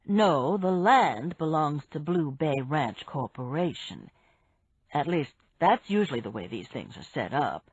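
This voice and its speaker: A woman voicing a calm, knowledgeable businessman who explains patiently and matter-of-factly in an audiobook story. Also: deep voice, slightly rough, no room sound, muffled recording, voice pushed down, exaggerated voice. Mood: patient, assured. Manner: measured, matter-of-fact explaining.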